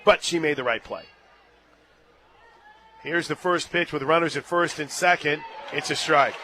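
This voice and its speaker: A man calling a live softball game on radio from a stadium booth, climbing into excited shouts on big plays and laughing easily. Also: a little high, unhurried, some chatter behind. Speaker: radio play-by-play announcer. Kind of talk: live radio sports play-by-play.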